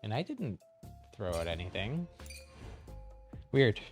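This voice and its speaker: A man with a fairly low voice streams a game with soft music behind him. His commentary is casual and chatty, with a hesitant, thinking-aloud delivery. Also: big pitch swings, slow. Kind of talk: casual live gameplay commentary.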